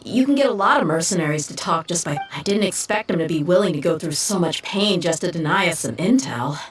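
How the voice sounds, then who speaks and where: Brief: female game streamer, lowish voice, dry delivery, a bit off-mic with game music under her. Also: expressive, a little fast, far from the mic.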